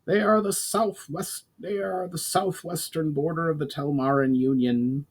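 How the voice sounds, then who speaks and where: A man voicing an earnest, courtly dwarf envoy in a fantasy storybook, with a measured, formal manner. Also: on the high side, fairly even intonation, husky, pitched above the performer's own voice.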